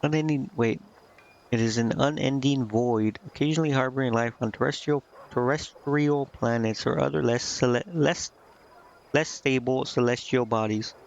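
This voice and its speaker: A man casually commentating a game live and reading its text aloud, thinking out loud in a rambling way and stumbling over unfamiliar words. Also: mid-range voice, normal speed, vocal fry, muffled recording. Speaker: game streamer.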